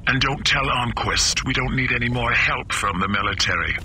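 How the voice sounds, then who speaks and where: An actor playing a cold, commanding government boss in a game cutscene, curt, authoritative and skeptical. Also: male voice, mid-range voice, moderate pace, bright, forceful tone, a little hiss or hum. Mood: stern, clipped. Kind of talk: video game cutscene acting.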